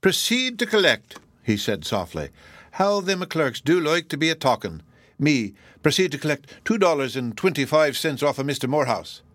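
A man voicing a stubborn, sly express agent with a folksy brogue and drawn-out grumbling. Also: on the high side, sing-song, a bit bright, dry recording, voice pushed up. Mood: mocking.

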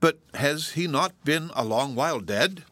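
A cheerful young village girl, frank and gently dignified , as a male reader puts her on. Her voice is lifted well above his usual pitch and sounds bright and earnest. It is recorded close and dry. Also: lively intonation, a little slow, no echo. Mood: admiring.

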